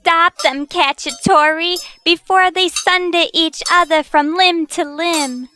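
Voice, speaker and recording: An actress playing the bar owner's ditzy daughter in an old-time radio comedy, with a drawn-out melodramatic delivery. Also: female voice, extremely high pitch, steady tone, sharp tone, slight background noise, full character voice.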